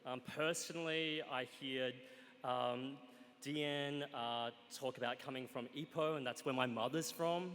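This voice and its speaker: A man with an Australian accent telling a comic personal story on stage, wry and playful, with deadpan punchlines. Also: medium pitch, a little slow. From Australia, Sunshine Coast, Queensland. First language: Cantonese. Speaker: guest storyteller. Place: stage in a live event hall with an audience. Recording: reverberant live hall.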